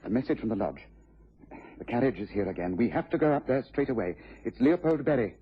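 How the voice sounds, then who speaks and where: Commanding British gentleman sleuth, animated, fast, observant, dull vintage radio drama recording. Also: medium pitch, slightly rough. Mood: urgent. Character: brisk, commanding gentleman detective, keenly observant. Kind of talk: 1950s-60s British radio drama acting.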